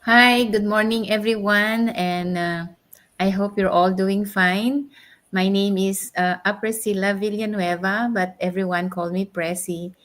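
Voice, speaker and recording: A Filipino-accented woman in her sixties introduces herself as a guest in an interview. Her voice is of average pitch. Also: steady tone, measured pace, a bit bright. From Philippines. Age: 60s. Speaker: interview guest, nurse and business mentor.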